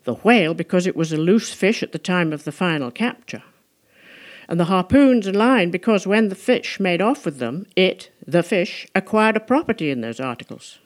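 A woman narrating a literary audiobook in a measured, rhetorical manner. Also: lowish voice, lively intonation. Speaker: audiobook reader.

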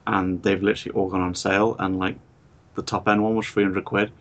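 Low-voiced man, chatty. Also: little pitch movement, a little fast, fairly bright tone. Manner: chatty, explains at length. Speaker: podcast co-host. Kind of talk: casual podcast chat.

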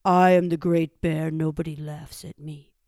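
A woman voicing a big, proud, menacing bear in a storytelling session, breathy and pompous. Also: low-pitched, flat delivery, measured pace, darkish tone, dry recording, clean studio sound, pitched below the performer's own voice.

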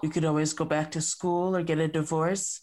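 A woman with a Canadian accent plays a calm, absent-minded therapist who gives stock advice. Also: low-pitched, normal speed, natural voice. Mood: detached. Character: distracted, bland female therapist.

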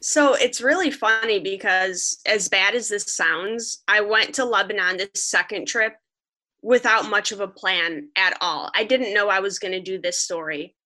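A woman's voice: medium pitch, measured pace, pushed and bright. A young woman, a freelance journalist from Western New York, earnestly recounting her reporting as a podcast guest. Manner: earnest, vivid storytelling, quoting others. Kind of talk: podcast interview, storytelling.

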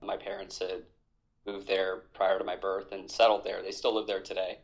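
Guy from hawaii on a podcast, lowish voice, thoughtful and measured, kinda dull lo-fi sound. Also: monotone, creaky. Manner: reflective, measured, with fillers and restarts. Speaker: podcast guest, army veteran. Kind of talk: conversational interview.

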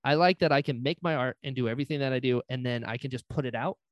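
A soft-spoken man chatting casually as a podcast host. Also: medium pitch, quick pace, dry recording. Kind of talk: casual chat.

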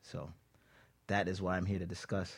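A man introducing himself as a guest on a radio show, relaxed and playful. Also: deep voice, normal speed, gravelly, husky, no echo.

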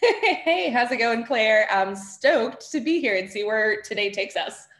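A woman's voice: fairly high pitch, animated, a little slow, a bit bright. A woman who grew up in Texas, a personal trainer talking as a podcast guest in an upbeat, enthusiastic way. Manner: upbeat, enthusiastic, laughs often. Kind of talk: casual interview chat.

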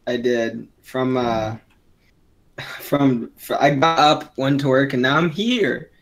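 Rambling young man on a podcast. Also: medium pitch. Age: young adult. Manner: rambling, halting with restarts and fillers. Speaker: podcast co-host. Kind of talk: casual chat.

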